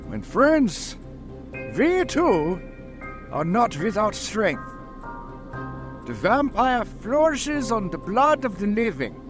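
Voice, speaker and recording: An actor playing a grave, resolute professor who leads vampire hunters, declaiming in a vintage radio drama style. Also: male voice, high voice, big pitch swings, over music, full character voice. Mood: grave, portentous. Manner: declamatory, portentous, measured phrasing.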